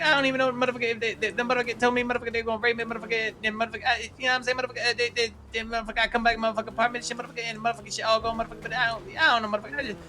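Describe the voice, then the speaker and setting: A squeaky, very high voice belongs to a foul-mouthed ex-convict, played by a man, who rattles off a rambling, halting stream of swearing at breakneck speed. The tone is bright and sharp throughout, and the character comes across as streetwise and disorganized. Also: a little hiss or hum. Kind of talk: online roleplay game character.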